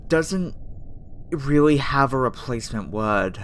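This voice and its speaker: A woman voicing a gentle, flustered young female alien scientist in an ASMR roleplay, speaking soothingly and hesitantly with stammers. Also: rumbling low, animated, takes it slowly, heavily acted. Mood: awkward, sheepish. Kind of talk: ASMR roleplay audio acting. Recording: faint background noise under the voice.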